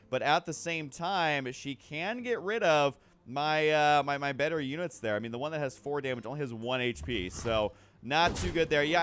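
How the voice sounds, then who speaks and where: A man's voice: a little high, a bit bright. A man hosting a gaming video with casual, off-the-cuff commentary, rambling a little as he thinks aloud.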